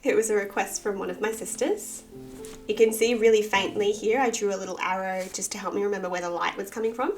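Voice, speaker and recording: A woman, husky from a cold with a bit of vocal fry, chatting casually and self-deprecatingly through a sketchbook tour. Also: medium pitch, steady tone, fast, a little mellow, soft background music. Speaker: artist and channel host.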